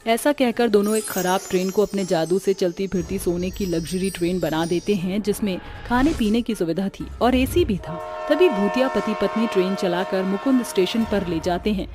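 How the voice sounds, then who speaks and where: A woman's voice: mid-range voice, moderate pace, hardly any pauses, music in the background. A woman narrating a scripted cartoon story as its storyteller.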